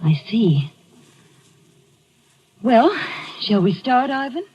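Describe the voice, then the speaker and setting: A woman playing a polite artist's model in an old-time radio drama, with an expressive, mannered stage delivery. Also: a little high, extremely slow, a little hiss or hum, exaggerated voice. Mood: uneasy. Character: polite, uneasy woman posing for her former lover. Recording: muffled old radio recording.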